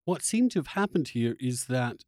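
Animated man debating, with halting emphasis. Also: mid-range voice, dry recording, studio quality. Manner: halting, repeats words, emphatic. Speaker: podcast host interviewing a guest. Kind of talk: conversational political discussion.

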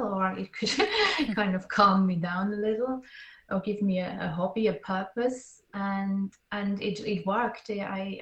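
A woman's voice: medium pitch, echoey room, rough recording. A woman with a Swiss accent speaking as an interview guest, thoughtful and a bit hesitant, often restarting her sentences. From Switzerland. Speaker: podcast guest. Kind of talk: interview conversation.

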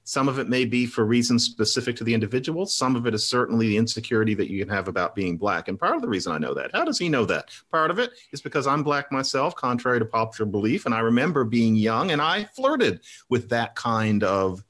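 A man's voice: sing-song, quick pace, hardly any pauses, fairly bright tone. Middle-aged man telling a reflective story. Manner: reflective storytelling, pitch rising sharply when emphatic. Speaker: commentator. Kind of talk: conversational discussion.

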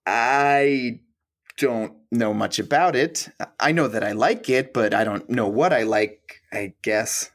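A young man, awkward and secretly smitten, in a romantic comedy audio drama. His delivery is slow and halting, full of stammers and nervous rambling, at a medium male pitch. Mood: shy, hopeful. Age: young adult. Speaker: actor in an audio play.

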